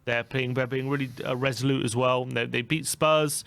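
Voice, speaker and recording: A man's voice: mid-range voice, fairly even intonation, moderate pace, gravelly, a bit bright, clean tone, no echo. A man, co-hosting a casual football chat, talking in a rambling way with many restarts. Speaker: co-host.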